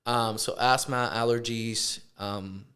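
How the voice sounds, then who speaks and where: A man from Puerto Rico, a chiropractor, chatting casually in an interview, relaxed and hesitant with many fillers. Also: mid-range voice, slow pace, crowd noise. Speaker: chiropractor, guest.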